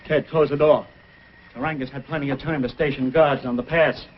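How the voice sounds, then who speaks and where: Guy acting worried radio dad, kinda high voice, insists something is wrong, muffled. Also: steady tone, fairly quick, close to an everyday voice. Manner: anxious, insistent. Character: worried, stubborn invalid father at trading post. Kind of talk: old-time radio adventure acting. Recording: dull old radio, faint noise.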